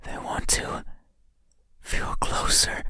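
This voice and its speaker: A man voicing a lonely shadowy night creature in a breathy, voiceless whisper, intimate and menacing, for a horror visual novel. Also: lightly acted. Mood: obsessive longing. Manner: slow, halting, intimate, menacing. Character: lonely, creeping shadowy night creature, obsessively yearning to be seen. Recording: eerie horror music under the voice. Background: loud scary music.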